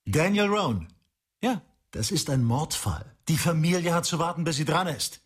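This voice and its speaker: A man's voice: fairly high pitch, animated, normal speed, breathy edge. An actor playing a weary, stubborn male detective in a radio drama, speaking in short, commanding lines. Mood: insistent. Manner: clipped and commanding.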